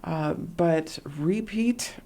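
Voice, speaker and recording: Low, mellow-voiced woman talking as a guest. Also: very slow. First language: Latvian. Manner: warm storytelling, reflective, frequent fillers. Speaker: radio show host, interview guest. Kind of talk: casual interview chat.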